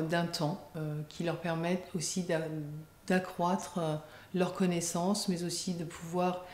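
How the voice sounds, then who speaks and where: Mellow, halting woman in an echoey room. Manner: hesitant, halting phrasing. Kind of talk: interview explanation. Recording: echoey room, faint rumbling noise.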